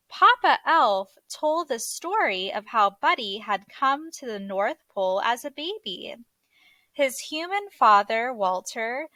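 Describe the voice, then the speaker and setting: A woman narrating a children's story aloud in an expressive, deliberate way, like a teacher reading to young listeners. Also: on the high side, no room sound.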